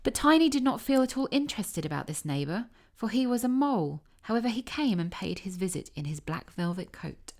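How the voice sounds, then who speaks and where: A woman reading a classic fairy tale aloud as a storybook narrator, expressive and careful. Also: medium pitch, fairly quick, hardly any pauses.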